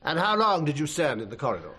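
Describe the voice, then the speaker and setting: Proper hesitant english barrister, high slightly husky voice, radio play. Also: male voice, wildly swooping pitch, quick pace, sharp tone, full character voice. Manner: hesitant, formal. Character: hesitant, fussy, proper male prosecuting barrister. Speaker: actor playing a prosecuting barrister. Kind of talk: radio drama acting.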